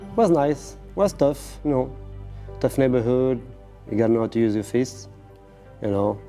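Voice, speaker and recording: A man's voice: mid-range voice, expressive, moderate pace, dark tone, soft background music. A man from Morocco raised in France, speaking English in a documentary interview, leaning on "you know". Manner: conversational, leans on "you know". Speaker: interviewee, savate fighter.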